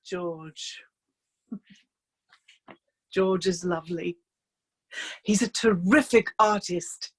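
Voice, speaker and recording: An actress performing a monologue as a chatty, dryly amused life-drawing model who confides in the listener with hesitant, conversational asides. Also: female voice, on the low side, drawn-out words, long pauses, breathy edge, full character voice. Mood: fond. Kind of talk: theatrical monologue in a staged reading. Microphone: video call.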